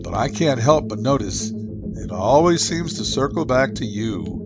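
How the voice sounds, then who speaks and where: A woman voicing a sly, ambitious man whose low, smooth tone teases and probes. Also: animated, a little slow, dull audio, music in the background. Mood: probing. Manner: low, silky, teasing. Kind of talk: audiobook-style character voicing.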